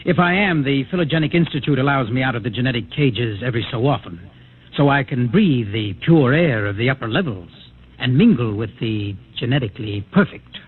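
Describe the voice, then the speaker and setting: An articulate male outcast with a wry, gentle temper, played by an actor. He speaks theatrically, as in a mid-century radio play. The recording is thin and tinny with faint noise. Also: medium pitch, lively intonation, fairly quick, close to an everyday voice. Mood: wry, melancholy.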